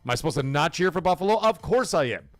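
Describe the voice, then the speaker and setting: A man hosting a casual livestream, loudly thanking chat supporters between serious talk. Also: on the high side, expressive, quick pace, dry recording, soft background music. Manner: hyped, shouts out chat supporters. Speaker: livestream host.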